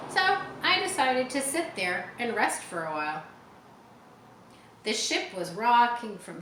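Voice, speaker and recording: A woman reads an adventure story aloud for children. Her delivery is expressive, with explanatory asides for young listeners. The room echoes around her medium-pitched voice, with faint noise behind it. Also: gravelly. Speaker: audiobook reader. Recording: roomy echo, faint background noise.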